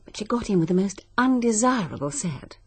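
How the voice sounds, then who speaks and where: A woman's voice: mid-range voice, animated, fairly quick, a little mellow, husky. An actress playing a genteel, guarded stepmother in a radio drama, clipped and coolly disapproving. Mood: coolly regretful. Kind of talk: 1940s-style British radio drama acting.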